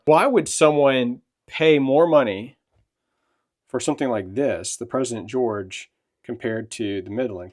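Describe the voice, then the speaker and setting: A middle-aged man hosting a casual product demo at his home, chatting and asking questions. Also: medium pitch. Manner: chatty, asks questions, quick nods of agreement. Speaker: channel host. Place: home, indoors and outdoors.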